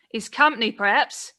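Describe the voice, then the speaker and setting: Female actor as mocking merchant gossip: radio play, unhurried, bright, callous. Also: a little high.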